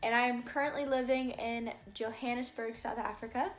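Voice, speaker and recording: A woman's voice: on the high side, steady tone, moderate pace. A bubbly, chatty young woman in her twenties with an American accent, talking casually to camera on her vlog. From United States. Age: young adult. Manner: bubbly, chatty, laughs often. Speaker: vlogger.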